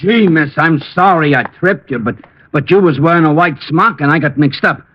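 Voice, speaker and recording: A man's voice: fairly high pitch, moderate pace, slight background noise, close to an everyday voice. Dopey, apologetic radio sidekick played by a man. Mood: apologetic, confused. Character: dim, bumbling, apologetic male policeman sidekick. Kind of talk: old-time radio comic acting. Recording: muffled old radio recording.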